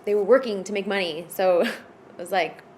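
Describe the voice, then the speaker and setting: A woman's voice: mid-range voice, a little fast, a little mellow, a little hiss or hum. A woman from Florida, a podcast guest, telling her personal story in a casual, hesitant way with lots of fillers and restarts. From United States (Florida).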